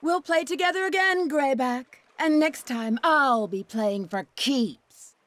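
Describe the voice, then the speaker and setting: A woman playing a greedy, sadistic mercenary villainess in a video game, mocking and teasing with drawn-out words. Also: high voice, a bit bright, no room sound. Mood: spiteful, gloating. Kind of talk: theatrical video game acting.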